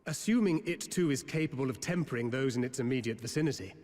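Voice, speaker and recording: An actor playing an articulate male scholar in a video game, speaking formal, archaic English in grave, measured phrases. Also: mid-range voice, a little mellow, natural voice. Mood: grave, analytical. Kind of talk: scripted video game drama. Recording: reverberant hall, music underneath.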